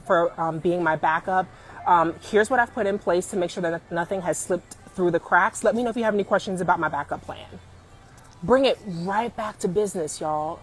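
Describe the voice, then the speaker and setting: Animated woman in her forties giving advice. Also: mid-range voice, moderate pace, faint music behind. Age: 40s. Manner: animated and emphatic, addresses viewers as "y'all", laughs often. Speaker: career coach on a live stream. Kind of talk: casual live-stream advice chat.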